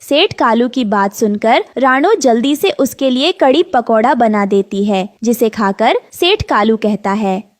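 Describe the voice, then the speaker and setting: Female cartoon narrator: high voice, scripted storytelling delivery, dry studio-close sound. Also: expressive, unhurried, no echo.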